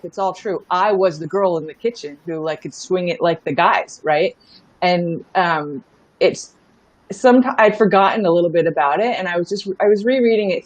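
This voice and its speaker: A woman's voice: fairly low pitch, no room sound, highs cut off. A middle-aged woman talking casually as an interview guest, telling stories in a candid, emphatic way and often checking in with the listener. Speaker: interview guest, startup founder. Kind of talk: casual conversational storytelling.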